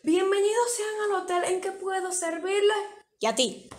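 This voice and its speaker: A young woman with a Santiago Cuban accent talks animatedly in a casual reaction video. Her voice is high. She sits indoors in front of a red curtain. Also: moderate pace. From Cuba. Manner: animated, complaining. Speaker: co-host.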